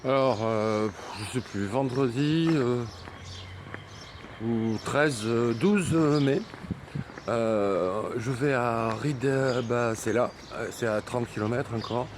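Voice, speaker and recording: A man's voice: mid-range voice, slow. Male hiking vlogger, teasing and playful, wind-battered outdoor mic. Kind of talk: joking travel vlog to camera. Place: outdoors on a coastal pilgrimage trail.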